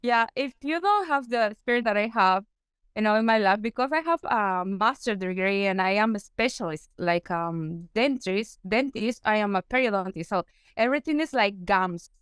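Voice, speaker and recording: Peruvian woman guest, halting second-language English, smooth and clear, dry close sound. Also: medium pitch, lively intonation, fairly bright tone, no echo. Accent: Peruvian Spanish. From Peru. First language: Spanish. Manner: halting, searching for English words. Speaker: guest consultant, periodontist. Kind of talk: interview answers.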